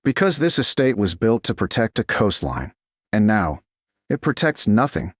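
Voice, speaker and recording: A man's voice: fairly low pitch, very animated, normal speed, no echo, studio quality. A man voicing a guarded, brooding duke who speaks in blunt, clipped sentences.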